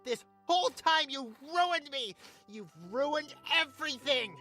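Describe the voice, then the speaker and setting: A woman's voice: high voice, lively intonation, bright, forceful tone, faint music behind, full character voice. A woman voicing a distraught, betrayed youngster in a fan audio drama, anguished and overwrought. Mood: furious. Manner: anguished, overwrought, drawn-out cries.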